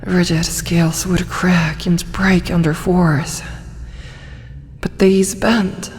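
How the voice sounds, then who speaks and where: A woman playing a huge, possessive, flirty dragoness in an intimate ASMR roleplay, coaxing and teasing softly, often slipping toward a whisper. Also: on the low side, flat delivery, slow. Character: huge tsundere female dragon, possessive, flirty, doting captor. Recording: long cavernous reverb.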